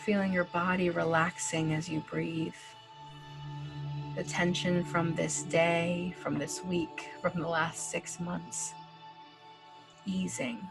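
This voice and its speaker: A woman leading a guided breathing meditation, speaking slowly and gently with long pauses and casual fillers. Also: lowish voice, little pitch movement, creaky, rough recording. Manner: slow, gentle, soothing instructions with long pauses. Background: soft background music.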